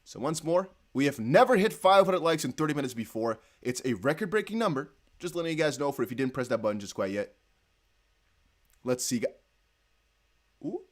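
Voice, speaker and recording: A young man with a Dutch accent hosting a casual livestream, reading chat aloud and breaking into excited whoops. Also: on the high side, fairly quick, pauses often. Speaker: crypto livestream host.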